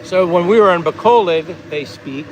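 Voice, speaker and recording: A man's voice: sits high, moderate pace, a little hiss or hum, low-quality recording. An American man hosting a casual vlog, talking in a rambling, easygoing way as he reads out viewer comments. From United States. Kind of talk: casual livestream chat.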